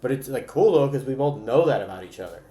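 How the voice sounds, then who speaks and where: A man's voice: medium pitch, lively intonation, fast, dark tone. A man chatting casually on a livestream as host of a couples game, bantering and swearing in a teasing way. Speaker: streamer hosting a couples trivia game with partner. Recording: roomy echo with clear background noise.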